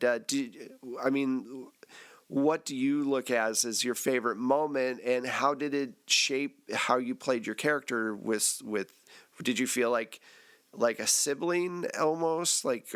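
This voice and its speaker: A man with a mid-pitched voice leads a relaxed roundtable as host. He feels his way into each question, rephrasing as he goes in a casual, conversational style. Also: measured pace, clear, resonant tone. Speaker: host asking questions in a cast debrief. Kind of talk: casual interview chat.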